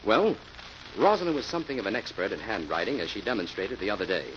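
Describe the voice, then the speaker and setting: An actor playing a cool, confident crime-fighter in an old radio mystery, speaking with assured deliberation. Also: male voice, lowish voice, expressive, quick pace, fairly bright tone. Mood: assured, explaining. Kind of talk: 1940s radio acting. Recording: dull, faintly noisy old radio recording.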